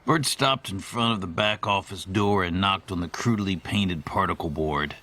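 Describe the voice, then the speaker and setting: A man playing a formal, wordy middle-aged storyteller, giving a measured, ornate monologue in an audio horror drama. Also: deep voice, sharp tone. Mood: uneasy, brooding. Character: formal, verbose middle-aged man recalling haunting courier days.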